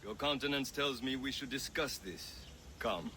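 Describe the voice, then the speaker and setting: A man voices a calm, formal assassin mentor who speaks with quiet authority. Birds and outdoor ambience run underneath. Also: medium pitch, moderate pace, close to an everyday voice. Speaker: game character. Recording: game audio with ambient noise.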